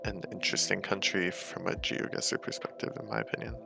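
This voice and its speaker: A man's voice: low voice, steady tone, fairly quick, creaky, over music. A young American man, a guest on the show, chatting casually and rambling with lots of "like" and "um", Romanian spoken at home. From United States. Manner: filler-heavy, loose and rambling. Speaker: guest, professional GeoGuessr player. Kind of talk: casual chat.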